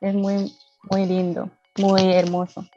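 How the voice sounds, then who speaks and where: A woman who is not a native speaker answers interview questions slowly and carefully. She pauses often and fills gaps as she searches for words. The sound is slightly dull and dry, with faint music behind. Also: medium pitch, monotone, warm tone. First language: not Spanish. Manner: careful, hesitant with many fillers. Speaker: guest, language learner.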